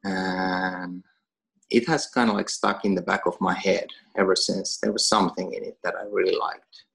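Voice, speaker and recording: A man's voice: low voice, little pitch movement, moderate pace, creaky, fairly bright tone, husky. A Finnish man who is a gym owner and coach, chatting casually in English with a Finnish accent and laughing between phrases. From Finland. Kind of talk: casual podcast conversation.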